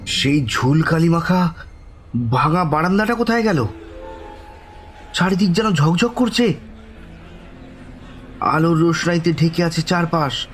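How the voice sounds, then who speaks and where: A man's voice: on the high side, lively intonation, slight background noise. Bewildered man narrating ghostly horror. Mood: astonished. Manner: halting, breathy repetitions, suspenseful, pleading in dialogue. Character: bewildered, frightened male narrator of village ghost story. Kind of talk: dramatic first-person horror audio story narration.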